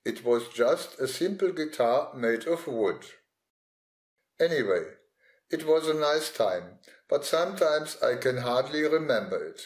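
A man's voice: mid-range voice, animated, measured pace. A man informally recalling his own memories as an eyewitness, in the first person. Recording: roomy, echoing voice recording.

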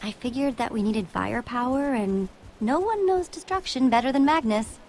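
Young female adventurer, lively swooping pitch, earnest, determined, urgent, video game dialogue. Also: moderate pace, a little hiss or hum, poor audio.

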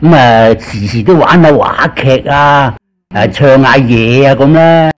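A man's voice: medium pitch, unhurried. An older man with a Cantonese accent, casually reminiscing in an interview as a chatty storyteller. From Guangzhou, China. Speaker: interviewee, veteran actor.

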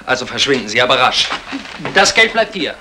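An actor playing a stern, upright husband who gives curt commands in a 1960s German crime radio play. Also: male voice, mid-range voice, very animated, a little fast, music in the background, natural voice. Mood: stern, firm. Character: stern, upright husband holding burglars at gunpoint.

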